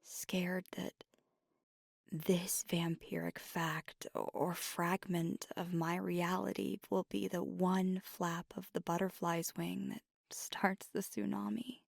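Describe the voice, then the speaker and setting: A woman performs a tender, nervous vampire girlfriend in an ASMR roleplay. Her voice is very breathy and mostly whispered, with heavy creak at the edges. She confides slowly and haltingly, very close to the microphone. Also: mid-range voice, darkish tone, no room sound, exaggerated voice. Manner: hesitant, halting, earnest confiding. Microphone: close, dry microphone.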